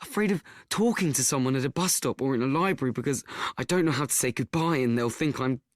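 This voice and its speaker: A young actor playing an anxious, self-doubting teenage boy, confiding in a halting, stammering radio play monologue. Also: mid-range voice, no room sound, studio quality, natural voice. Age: young adult.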